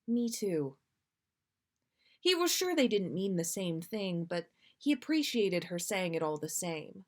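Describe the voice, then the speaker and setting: Low-pitched woman reading a story aloud. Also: very animated, moderate pace. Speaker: podcast host reading listener fan fiction. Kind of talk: storytelling read aloud on a livestream.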